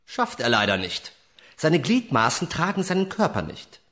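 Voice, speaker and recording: A man's voice: fairly high pitch, huge pitch swings, moderate pace, sharp tone, no room sound, exaggerated voice. A man playing an eager, pedantic employee of a heavenly agency in a comedic radio play, lecturing in a nerdy way. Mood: lecturing, pleased.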